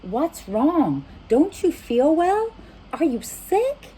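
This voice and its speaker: A woman's voice: high-pitched, very animated, drawn-out words, mellow, voice pushed up, full character voice, low-quality recording. A woman voicing a kind, earnest frog who worries over a friend in a children's picture book. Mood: anxious, concerned. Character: kind, proud, anxious frog befriending a lost bear.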